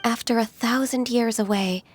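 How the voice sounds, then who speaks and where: A woman voicing a gentle, wistful, half-immortal secretary in a video game, speaking politely and formally. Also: on the high side, fairly even intonation, moderate pace, a little hiss or hum, natural voice. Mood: resigned sadness.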